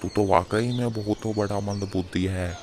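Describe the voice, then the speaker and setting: A man as a low, blunt village hunter. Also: fairly even intonation, normal speed, close to an everyday voice. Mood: scornful, dismissive. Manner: rustic, colloquial, blunt. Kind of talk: Hindi animated moral story dialogue. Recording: clear background noise.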